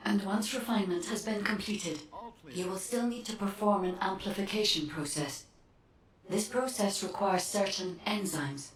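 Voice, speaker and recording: A woman voicing a calm, analytical guide in a video game, methodical, precise and formal. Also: medium pitch, moderate pace, reverberant, distant mic, natural voice. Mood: calm, explanatory.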